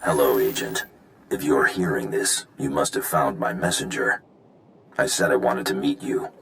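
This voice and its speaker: A man playing a secretive, coolly menacing villain in a video game, speaking in a measured, gravelly voice. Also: fairly bright tone, a little hiss or hum, exaggerated voice. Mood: cool, controlled. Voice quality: gravelly, very deep.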